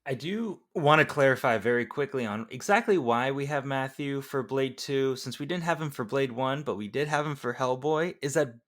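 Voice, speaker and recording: A man's voice: mid-range voice, normal speed, few pauses, fairly bright tone. Male podcast host, mid-range voice, casual movie discussion.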